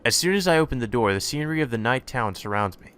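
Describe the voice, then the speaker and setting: A man's voice: mid-range voice, animated, fast, noisy background, close to an everyday voice. A man voicing an earnest young male hero, anxious and determined, narrating his own story in a visual novel.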